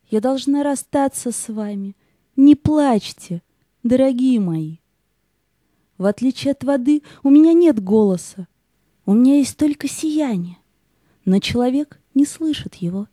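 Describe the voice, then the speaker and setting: A woman's voice: fairly high pitch, lively intonation, measured pace, warm tone, dry recording. A woman playing a tender, serene female spirit of light in an audio play. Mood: tender, sorrowful.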